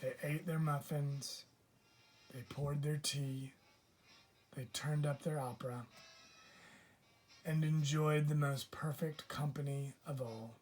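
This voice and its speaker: A man reading a children's picture book aloud as a teacher would, with measured, deliberate phrasing. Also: a little high, steady tone, a little mellow, soft background music. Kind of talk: children's storybook read-aloud.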